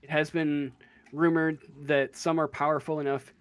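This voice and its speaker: A man working as game master, improvising narration for a tabletop role-playing game in a halting way, searching for words. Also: fairly high pitch, little pitch movement, smooth.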